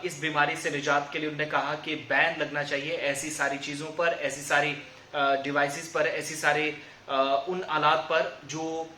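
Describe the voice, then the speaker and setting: A male news presenter with a bright, forceful voice, pitched a little high. He reports in a wordy, explanatory way, restating points in other words, with fairly even intonation. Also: roomy sound, a little hiss or hum.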